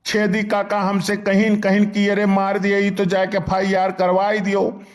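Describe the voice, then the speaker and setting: A man's voice: high-pitched, monotone, a little slow. A man voicing an apologetic, self-justifying village man in a cartoon comedy, with an Awadhi accent. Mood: sheepish, pleading.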